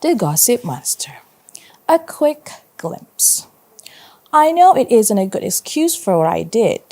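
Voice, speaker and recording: Mellow Indonesian-accented woman reading aloud. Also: medium pitch. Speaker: primary school teacher. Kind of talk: read-aloud storytelling for children.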